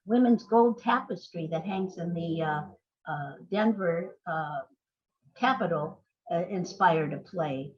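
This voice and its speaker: A woman's voice: lowish voice, lively intonation, takes it slowly, a little mellow. Older woman playwright on a dull recording. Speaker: playwright being interviewed. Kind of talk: conversational interview answers.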